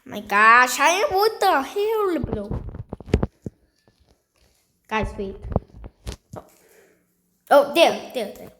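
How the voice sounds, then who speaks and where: A woman with a high, bright voice talks through a casual screen-recording tutorial. She is hesitant and repeats herself, muttering and getting easily exasperated. Her delivery is very slow, with long gaps between short phrases. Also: very animated, slight background noise. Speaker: video tutorial creator.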